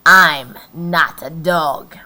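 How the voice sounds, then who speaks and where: An affronted storybook coyote, voiced by a woman, talks back slowly and pointedly. The voice is bright and firm. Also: low voice, fairly even intonation, full character voice. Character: unimpressed coyote talking back coolly.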